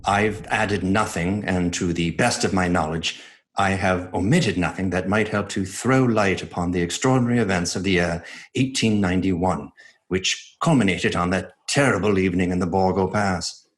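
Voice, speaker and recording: Man acting a low-voiced, solemn gentleman narrator. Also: close to an everyday voice. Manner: formal, measured. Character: sober, earnest gentleman witness presenting a horror account. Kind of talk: horror radio drama narration.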